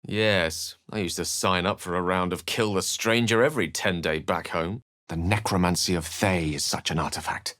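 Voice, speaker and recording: A man's voice: low voice, normal speed, hardly any pauses, fairly bright tone, no room sound, clean studio sound. A man acting an eloquent, cultured wizard in a video game, earnest and wry, with articulate, ornate phrasing.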